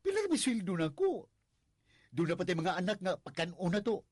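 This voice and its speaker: An actor with a Visayan Filipino accent playing a worried, devoted husband in a radio drama, sighing and pleading. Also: male voice, sits high, wildly swooping pitch, a little fast, a little mellow, breathy edge, no echo, studio quality, heavily acted. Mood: pleading, exasperated. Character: worried, long-suffering, devoted husband narrating his story.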